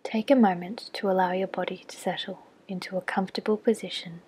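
A woman leads a guided body scan meditation in a soft, breathy near-whisper, giving gentle, measured instructions with long pauses. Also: medium pitch, fairly even intonation. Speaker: clinical psychologist leading a guided meditation.

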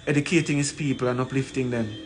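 A man in his forties with a Jamaican accent gives an emphatic, deliberate address to camera, repeating phrases for weight. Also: mid-range voice, steady tone, soft background music. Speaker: community leader. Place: small plain room indoors. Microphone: phone at arm's length. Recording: noisy room, ambient background sound.

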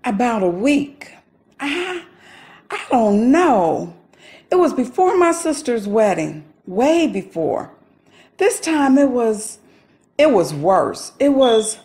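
Woman acting troubled older sister, high swinging voice. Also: a little mellow, exaggerated voice. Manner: halting, repeating words. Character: distressed, guilt-ridden older sister confiding in a counselor. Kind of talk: naturalistic audio drama acting.

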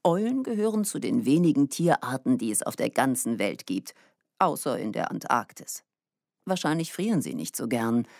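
Guy narrating for kids, scripted, lively melody, bit gravelly, really dry recording. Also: medium pitch, normal speed, no echo. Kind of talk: scripted character-profile narration for children.

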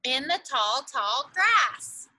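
A woman's voice: extremely high pitch, very animated, extremely slow, creaky, sharp tone. A young woman reads a picture book aloud to small children outdoors, sing-song and carefully enunciated, with emphasis on the rhyming words. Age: young adult. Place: outdoors in a leafy backyard.

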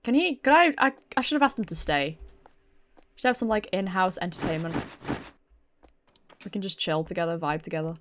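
A woman's voice: lowish voice, lively intonation, a little fast, pauses often, a bit bright, slight background noise. A British woman, a streamer giving chatty, giggly commentary while playing a video game in a casual livestream.

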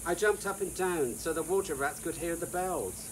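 A man in his sixties or older reads a whimsical storybook aloud in a theatrical, deliberate manner. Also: on the high side, rough recording. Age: 60s or older. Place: outdoors in a sunny garden. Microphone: camera a few feet away. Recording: outdoor hiss with insect noise.

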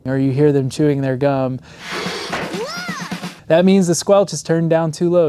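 A man's voice: a little high, dark tone, clean tone. A man in his thirties giving a plain-spoken instructional presentation as a flight instructor, recorded on a lapel mic indoors. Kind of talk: scripted instructional presentation to camera. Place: indoor studio set, seated.

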